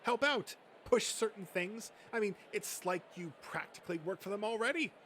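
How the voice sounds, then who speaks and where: A man's voice: high voice, lively intonation, moderate pace, fairly bright tone, a little hiss or hum. A man voicing a boastful, thin-skinned male comic-book nerd who rants and swears self-importantly in an amateur comic dub. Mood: scheming, bitter.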